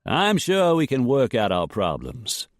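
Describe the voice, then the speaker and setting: A man doing a smooth, controlled Boston gentleman. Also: mid-range voice, huge pitch swings, unhurried, no room sound, studio quality, pitched above the performer's own voice. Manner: smooth, carefully controlled, unctuous. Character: older man, calm and controlled suitor.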